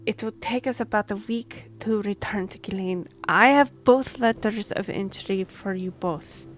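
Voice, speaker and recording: A woman's voice: mid-range voice, faint music behind, close to an everyday voice. A woman playing a formal, courteous and firm half-elf envoy in a fantasy audio drama. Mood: composed. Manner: formal, measured, diplomatic. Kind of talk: scripted fantasy audio roleplay.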